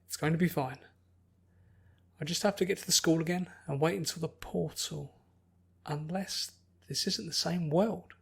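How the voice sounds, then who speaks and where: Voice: medium pitch, normal speed, vocal fry, long pauses, slightly rough. A narrator voicing an anxious, bookish young woman who speaks carefully and earnestly in an acted audiobook. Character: anxious, bookish pony princess turned human young woman.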